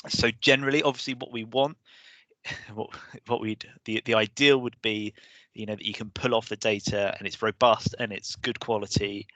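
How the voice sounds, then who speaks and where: Man, hoarse, hesitant, restarts a lot, bright tone, dry sound. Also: fairly low pitch, little pitch movement, moderate pace, dry recording, poor audio. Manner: hesitant, many restarts and fillers. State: losing his voice. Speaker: course presenter. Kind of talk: online webinar lecture.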